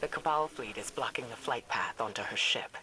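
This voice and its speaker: A man's voice: mid-range voice, normal speed, bright, forceful tone, a little hiss or hum. A man playing a stern military commander in a video game, giving an authoritative, clipped mission briefing. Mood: stern, urgent.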